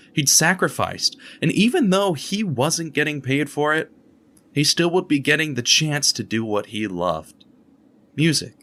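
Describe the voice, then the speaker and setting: A man narrating an audio drama with expressive, steady storytelling. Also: medium pitch. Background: guitar music.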